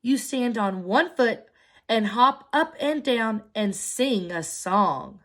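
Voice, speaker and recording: A woman's voice: a little high, lively intonation, slow, fairly bright tone. A woman voicing a helpful male friend in a storybook, giving step-by-step advice. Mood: instructing.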